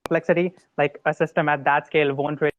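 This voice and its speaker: A man putting technical questions casually, in a halting way. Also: fairly high pitch, little pitch movement, lo-fi sound.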